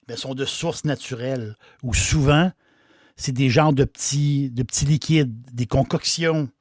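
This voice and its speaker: A man's voice: mid-range voice, darkish tone, no echo, studio quality. Folksy Québécois innkeeper, man. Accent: Québécois French. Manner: folksy, repeats words and phrases. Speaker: innkeeper host. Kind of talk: casual talk about a liqueur.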